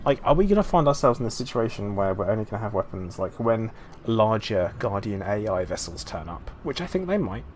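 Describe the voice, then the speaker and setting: A man's voice: on the low side, sing-song, a little fast, darkish tone. A man from the UK talking casually as a live streamer while playing a game. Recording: noisy gaming voice chat.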